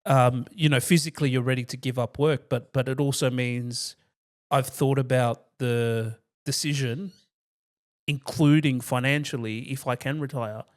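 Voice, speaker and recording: A man with an Australian accent speaks at a middle pitch in an easygoing, down-to-earth way, explaining things plainly as an interview guest. He restarts his phrases often, as if thinking aloud mid-conversation. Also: moderate pace. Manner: relaxed, down-to-earth, with frequent restarts. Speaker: financial planner guest. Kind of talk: casual interview answers.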